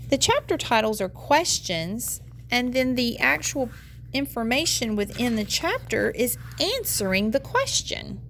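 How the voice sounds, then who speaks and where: Woman, elementary read-aloud teacher: fairly high voice with marked vocal fry, explanatory delivery aimed at young learners. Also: a little hiss or hum. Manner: explanatory, addresses young learners directly, poses questions. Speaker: teacher reading aloud to students. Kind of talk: instructional read-aloud of a children's nonfiction book with commentary.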